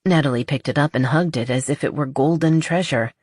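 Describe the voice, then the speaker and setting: Female audiobook narrator for romance fiction, low register, close dry sound. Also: brisk, darkish tone, breathy edge, dry recording. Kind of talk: scripted narration of a romance novel.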